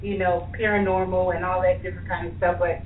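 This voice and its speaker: A woman's voice: on the low side, level tone, fast talker. Woman on an echoey, tinny line. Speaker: radio show co-host. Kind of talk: casual radio chat. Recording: distant echoey phone line, faint noise.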